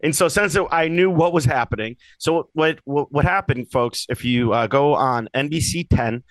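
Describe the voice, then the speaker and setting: A man's voice: a little high, normal speed, no room sound, rough recording. A man co-hosting a podcast, telling comedy-gig stories in a rambling, casual way with lots of restarts and asides. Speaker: podcast co-host. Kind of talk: casual chat. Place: lives in Boston.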